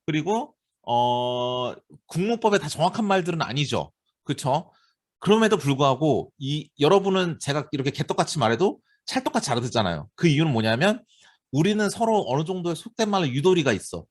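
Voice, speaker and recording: A man's voice: fairly high pitch, brisk. A man in his forties with a Seoul accent, giving a university lecture in a chatty way and often asking his students rhetorical questions. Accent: Seoul Korean. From Seoul, South Korea. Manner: lively, talkative, addresses students directly with rhetorical questions. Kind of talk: classroom lecture.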